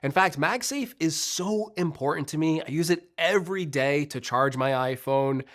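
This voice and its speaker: A man hosting a casual tech news vlog, chatty and upbeat, his voice a little rough and congested as he recovers from being sick. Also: on the high side, normal speed, a bit bright. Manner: chatty, upbeat, self-deprecating. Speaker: tech channel host.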